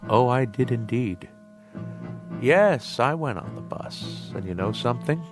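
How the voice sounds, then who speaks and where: A haughty, sardonic man played by an actor in a radio play. He draws his words out very slowly, with wide swings of pitch, over music. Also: expressive, natural voice. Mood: coolly menacing. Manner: haughty, deliberate. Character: haughty, bossy male diner customer, coolly sardonic. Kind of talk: radio drama acting.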